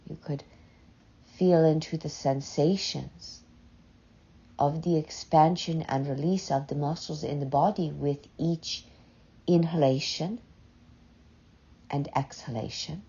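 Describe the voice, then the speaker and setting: A woman guides a meditation in a mellow, dark, husky voice. She speaks softly and very slowly, leaving long pauses between short, gentle phrases. The recording sounds slightly muffled. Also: low-pitched, steady tone. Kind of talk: slow, soothing guided meditation.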